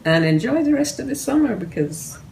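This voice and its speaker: An older woman with a Jamaican accent, her voice gravelly and lively in pitch. She adds brief, chuckling remarks across a dining table at home, picked up from a distance by the camera in a roomy space with faint hiss. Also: brisk. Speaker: co-host, cook. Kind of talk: casual chat.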